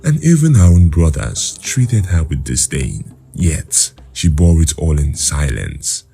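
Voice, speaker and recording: A man narrating a folktale as a scripted story, in a measured, dramatic manner. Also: rumbling low, gravelly, dark tone, breathy edge.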